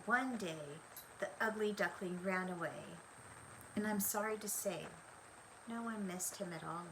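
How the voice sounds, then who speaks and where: An older woman reading a children's story aloud in an expressive, deliberate way. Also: medium pitch, noisy background. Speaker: storybook reader. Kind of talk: scripted children's story narration.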